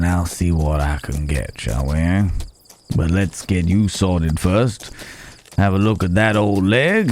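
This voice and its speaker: A man playing a rugged, wandering cowboy stranger in an intimate ASMR roleplay, with folksy old-West phrasing, a low gravelly voice and hesitant pauses, gently teasing and caring. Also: slight background noise, full character voice. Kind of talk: ASMR roleplay, intimate acted monologue to the listener.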